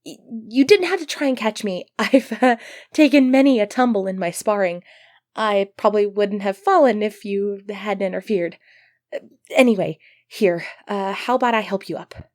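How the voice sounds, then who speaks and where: A woman's voice: mid-range voice, normal speed, a little mellow, dry recording, clean studio sound, lightly acted. A woman playing an earnest, easily flustered medieval knight devoted to her prince, speaking politely and formally in an ASMR roleplay. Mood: flustered, alarmed. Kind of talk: ASMR roleplay acting, formal old-fashioned speech.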